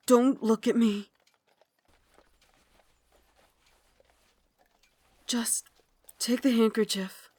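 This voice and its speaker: A woman playing a refined, tender but tormented Victorian vampire lady in an ASMR roleplay, speaking in a formal, genteel way with breathy asides. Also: fairly high pitch, a little mellow, dry recording. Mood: alarmed, pleading. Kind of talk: ASMR roleplay, dramatic monologue.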